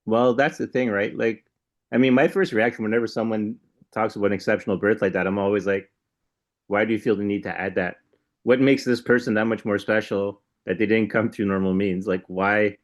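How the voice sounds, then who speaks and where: A man's voice: on the low side, fairly even intonation, a little fast. A man co-hosting a podcast in casual chat, skeptical and loose, chuckling as he rambles. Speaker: podcast co-host.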